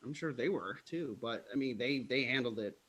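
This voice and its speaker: A man's voice: mid-range voice, normal speed, a bit bright, husky, low-quality recording. A man chatting casually, telling a story in a conversational, explanatory way. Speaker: wedding videographer, guest vendor.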